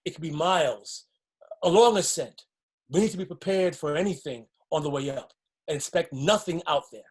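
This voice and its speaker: A man's voice: fairly high pitch, animated, moderate pace, slightly rough. An actor playing a defiant, hopeful man plotting escape in a stage drama, speaking earnestly and emphatically. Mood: resolute. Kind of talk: dramatic stage-play dialogue.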